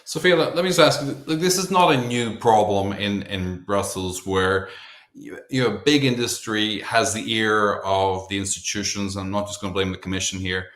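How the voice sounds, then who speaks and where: A man with a low voice moderating a panel, recorded with a roomy echo. He puts probing, conversational questions to the speakers and draws them out. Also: normal speed, a bit bright.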